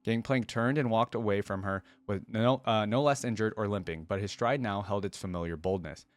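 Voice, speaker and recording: A man's voice: fairly low pitch, moderate pace. A man hosting a reaction video, reading a fantasy story aloud and breaking off into casual, slangy commentary. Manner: informal, slangy asides.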